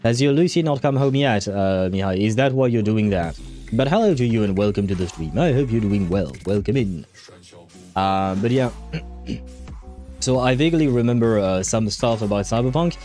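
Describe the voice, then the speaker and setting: A man with a fairly low, very mellow voice hosts a casual livestream. He thinks aloud in a halting, meandering way, circling back over his words. Faint music plays softly behind him. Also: animated. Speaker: livestream host.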